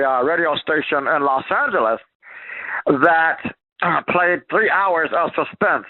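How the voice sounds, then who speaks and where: A man, middle-aged or older, hosting a radio show casually in a halting, rambling way. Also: fairly high pitch, dry recording. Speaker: radio program host. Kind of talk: casual informal presenting of old-time radio. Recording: dry, tinny phone-like radio sound.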